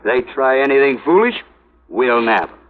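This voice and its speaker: A man's voice: mid-range voice, lively intonation, normal speed, lightly acted. A man playing a brash police sergeant from a 1950s radio crime show. He is slangy, cocksure and dismissive. The recording is tinny and narrow, with faint hiss. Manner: slangy, cocksure, brushing off objections. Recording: tinny, slightly noisy vintage radio recording.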